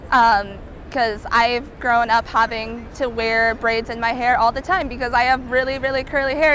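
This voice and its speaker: A woman's voice: on the high side, a bit bright, slight background noise, poor audio. A young woman from California answering interview questions outdoors, speaking in an earnest, candid way.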